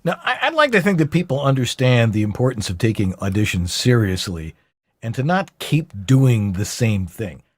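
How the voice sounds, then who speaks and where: A man hosting an interview show, his pitch moving up and down a lot as he jokes and asks questions. The recording is dry and close, with no room sound. He keeps the talk light and upbeat. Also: moderate pace, no room sound. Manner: upbeat and joking, with lively pitch swings. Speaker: podcast host.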